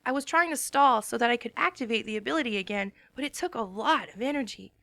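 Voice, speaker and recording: A woman's voice: on the high side, quick pace, vocal fry, sharp tone, no room sound. A woman giving an emotional, earnest first-person dramatic reading of a story. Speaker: reader.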